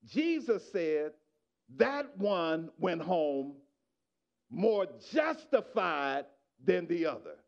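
Middle-aged male pastor mid-sermon, raspy edge, muffled lo-fi church recording, shouts then drops low. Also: sing-song, drawn-out words. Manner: preaching with rising shouts and quiet asides to the congregation. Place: church sanctuary. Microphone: handheld microphone.

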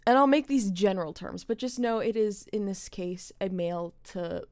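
A woman's voice: medium pitch, normal speed, a little mellow, no echo, studio quality. A young adult woman giving casual, opinionated commentary as a gaming streamer, speaking earnestly and emphatically with some swearing. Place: indoor streaming setup.

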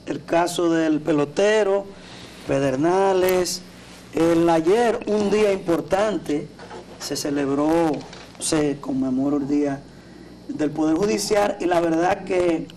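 High-voiced Dominican man, TV co-host. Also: a little mellow. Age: middle-aged. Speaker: TV talk show co-host. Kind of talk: news commentary. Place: TV studio at a desk. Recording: distant mic with clear background noise.

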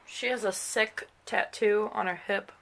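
A woman's voice: mid-range voice, steady tone, measured pace, creaky, fairly bright tone, slight background noise. A young woman chatting casually indoors, explaining things in an offhand way. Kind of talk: casual chat. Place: room, indoors.

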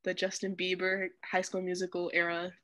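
A woman's voice: on the low side, completely flat delivery, normal speed, a bit bright. A young woman, a college athlete, chatting casually on a group video call. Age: young adult. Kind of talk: casual chat. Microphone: video call microphone.

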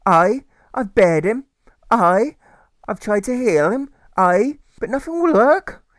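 A man's voice: sits high, animated, takes it slowly, no room sound, studio quality, voice pushed up. A man voicing an angry, exasperated Scottish carer who bellows in emphatic dialect, in a storybook reading.

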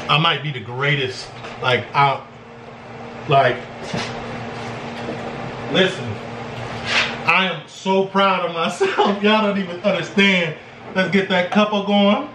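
An American man from Chicago in his thirties, chatting casually and boastfully in slang as an animated vlog host in a home kitchen. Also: high-pitched. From Chicago, United States. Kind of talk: casual excited cooking vlog chat. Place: small home kitchen, indoors. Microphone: camera, handheld or on the counter. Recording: echoey kitchen, clearly noisy background.

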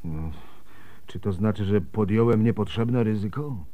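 A man's voice: lowish voice, measured pace, warm tone, natural voice. An actor playing an earnest, pious, determined middle-aged man marked by grief, in a radio drama. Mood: intense, urgent.

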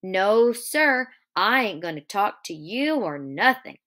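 A woman reading a novel aloud as a childlike, simple-minded, fearful male farmhand with halting rural speech. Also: medium pitch, very animated, a bit bright. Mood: guarded, obedient.

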